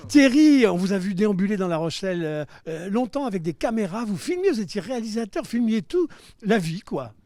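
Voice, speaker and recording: A man's voice: high-pitched, very animated, a little fast, darkish tone. A man hosting a live street interview outdoors by the seafront, asking questions in a playful, teasing way. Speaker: host.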